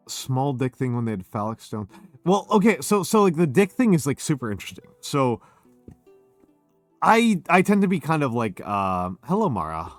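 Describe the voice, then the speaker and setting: A man's voice: mid-range voice, dry recording. A drunk man streaming a casual chat, rambling with stumbling restarts.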